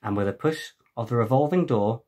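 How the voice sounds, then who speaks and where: A middle-aged man with a husky voice narrating a children's adventure novel as its author, recorded at a desk in a room at home. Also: mid-range voice, fairly quick, a little mellow. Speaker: author reading own book. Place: small home room with bookshelves. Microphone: webcam at desk distance.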